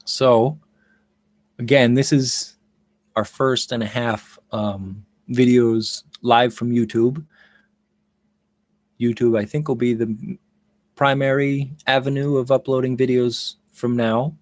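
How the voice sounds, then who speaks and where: A man's voice: medium pitch, fairly even intonation, slow pace, long pauses, dry recording. A male audiobook narrator and host with a mid-range voice. He moves between literary reading and informal talk to listeners, in a very dry recording.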